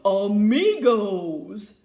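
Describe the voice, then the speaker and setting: A woman's voice: mid-range voice, sing-song, warm tone, echoey room, full character voice. Woman voicing a bellowing, jovial bullfrog. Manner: bellowing, drawn-out, laughing delivery.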